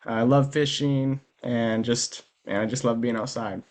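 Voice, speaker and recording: A man's voice: medium pitch, steady tone, unhurried, a little mellow. A man hosting a podcast in a friendly, chatty way, asking questions and laughing easily. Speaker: podcast host. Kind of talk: casual interview chat.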